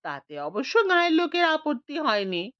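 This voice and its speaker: A woman narrating a scripted audiobook story with an expressive, swooping storytelling delivery. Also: sits high, normal speed, no echo, highs cut off.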